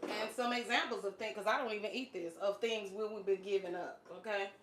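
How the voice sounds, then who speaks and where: A woman's voice: mid-range voice, steady tone, a little fast, background noise. A woman in her late forties chats through a casual livestream. She talks loosely, with plenty of fillers and easy laughter, and her grammar stays informal. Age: 40s. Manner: chatty, laughs often, many fillers. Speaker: fitness channel host.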